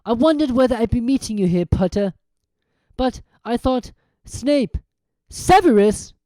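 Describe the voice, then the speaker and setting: A cold, sneering male teacher villain, as a woman reads him in a storybook, cool and mocking in delivery. The pitch sits above the narrator's voice and moves a lot. Warm, dry close recording. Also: lively intonation, measured pace, no room sound. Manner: cool, mocking, mock stutter.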